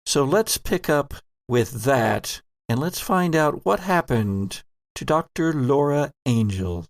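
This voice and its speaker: A man narrates a horror tabletop game as its game master, in a theatrical and deliberate way. His voice is slow, with a crackly, gravelly edge, and the sound is very dry. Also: mid-range voice, expressive, no echo. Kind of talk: dramatic narration for an actual-play horror audio drama.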